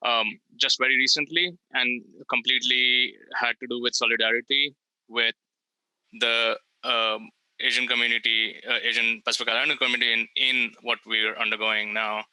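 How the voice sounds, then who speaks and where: A man's voice: mid-range voice, flat delivery, moderate pace, pushed and bright, smooth, dry recording, rough recording. A man answering as a panelist on a video call, reflective and hesitant.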